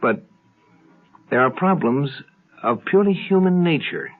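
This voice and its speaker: An American man giving a deliberate, reflective host introduction in a 1950s radio style. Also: on the high side, animated. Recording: tinny 1950s radio recording.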